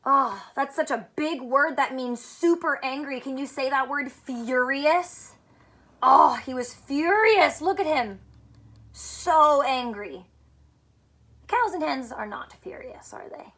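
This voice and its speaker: A woman's voice: sits high, animated, takes it slowly, a bit bright. A woman teacher reading a picture book aloud to children, expressively, with simple explanations and questions.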